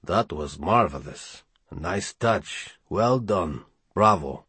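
A man voicing a wry, understated older spymaster who speaks slowly and quietly. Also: sits low, lively intonation, husky, dry recording, pitched below the performer's own voice, exaggerated voice.

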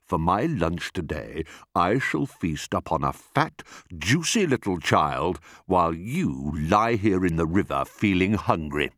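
A man's voice: sits low, big pitch swings, a little slow, few pauses, a bit bright, dry recording, clean studio sound, full character voice. A man voicing an enormous, greedy, boastful crocodile who savours his words in a storybook reading. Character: enormous greedy boastful male crocodile, sly child-eater.

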